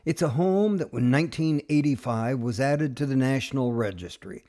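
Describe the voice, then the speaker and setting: Male travel narrator, mellow and relaxed tone, folksy and informal, halting delivery. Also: medium pitch. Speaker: travel show host and narrator.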